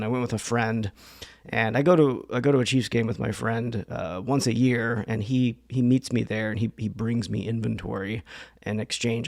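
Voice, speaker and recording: A man talking casually to his viewers in a rambling, chatty way, his voice hoarse and nearly gone. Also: on the low side. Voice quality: gravelly. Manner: rambling, chatty, many fillers and restarts. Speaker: reseller vlogger. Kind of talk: casual talk to camera.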